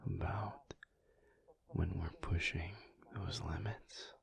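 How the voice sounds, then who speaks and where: A man playing a tender, weary, protective alpha werewolf in an intimate audio roleplay, speaking gently and haltingly in a breathy, low, often whispered voice. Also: steady tone, heavily acted. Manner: slow, gentle, halting, reassuring.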